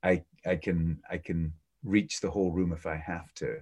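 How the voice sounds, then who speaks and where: A man with a low, booming voice chats about his work as a preschool teacher. He is unhurried and reflective and often restarts his sentences mid-thought. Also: steady tone, a little mellow, slightly rough. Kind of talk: casual interview chat.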